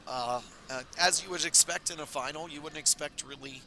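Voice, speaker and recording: A man commentating a curling tournament stream in a casual, rambling way, his voice raspy and hoarse from talking all weekend. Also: on the high side, fairly quick, slight background noise. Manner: relaxed, rambling, many fillers. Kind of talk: casual live sports commentary. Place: curling club.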